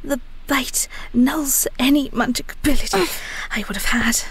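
A woman playing a bitter, defiant young female werewolf in an audio roleplay, breathy, hushed and biting. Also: high voice, normal speed, exaggerated voice. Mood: accusing. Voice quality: breathy, whispery. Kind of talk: dramatic audio roleplay acting.

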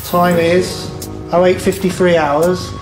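A British man speaks as a customs officer in a fairly high voice with little variation. His wording is procedural, and the room echoes with music behind. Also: little pitch movement, slow pace. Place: indoor airport rooms.

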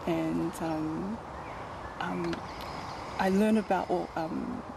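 A young woman from Taiwan, raised in New Zealand, answering interview questions hesitantly, with many pauses, on a handheld mic outdoors. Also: on the low side, gravelly, background noise. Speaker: student guest. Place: outdoors in a garden by a stone building.

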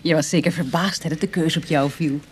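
An actress playing an inquisitive, affectionate, teasing woman in a Dutch radio drama, with a Netherlands accent and an expressive manner. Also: sits low, a little fast, a little hiss or hum, natural voice. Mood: interested, supportive. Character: inquisitive, affectionate, teasing female partner of an ex-burglar.

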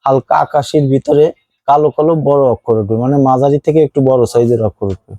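A man's voice: medium pitch, quick pace, mellow, dry recording, highs cut off. A man with a Bangladeshi accent giving a casual phone unboxing review as a seller, explaining things and repeating his points.

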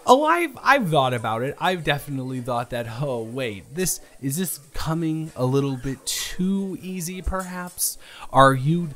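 A man's voice: a little high, expressive, unhurried, fairly bright tone. A man acting out a playful, flustered young guy on a first date in an improvised audio roleplay, teasing and stammering with mock indignation. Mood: teasing suspicion. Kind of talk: improvised romantic audio roleplay, casual chat.

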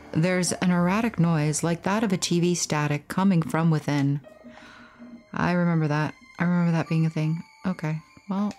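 A woman's voice: fairly low pitch, darkish tone. A woman game streamer casually playing a horror game and reading its text aloud. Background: game music.

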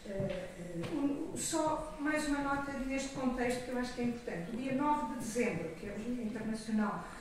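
Her voice arrives from far off in a very reverberant auditorium, with faint noise around it. She is an older woman with a European Portuguese accent, addressing a conference as an organisation's president. She speaks off the cuff, halting and rewording as she goes. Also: on the high side, lively intonation. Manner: halting, digressive, self-correcting. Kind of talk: semi-improvised conference speech. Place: auditorium stage. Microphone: podium microphone, recorded from the audience. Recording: echoey hall from far off, noisy.

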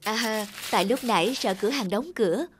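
A woman's voice: a little high, fairly quick, close to an everyday voice. A woman voicing a kind, caring young nurse in a dubbed cartoon. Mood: gentle, caring. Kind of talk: cartoon dubbing.

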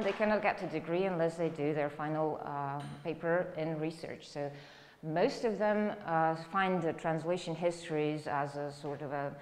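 A middle-aged female university lecturer with a Serbian accent speaks in a fairly low voice. She explains things haltingly, as in a freely spoken academic talk. The lecture room rings with reverb and has some faint noise behind her. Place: indoor lecture room, standing by a lectern.

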